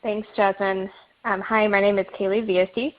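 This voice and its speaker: A young woman from the St. Louis area introducing herself on a webinar panel, plain and steady. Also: medium pitch, moderate pace. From St. Louis, Missouri. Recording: tinny phone-like line.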